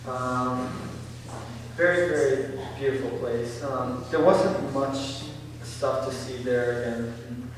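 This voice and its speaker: A teenage boy gives a casual presentation in a senior center hall, stopping often for ums and likes. He sits at a middle pitch, caught from a distance on a room mic. A long, echoey reverb and a faint hiss hang around his words. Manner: halting, full of ums and likes. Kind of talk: informal talk to an audience.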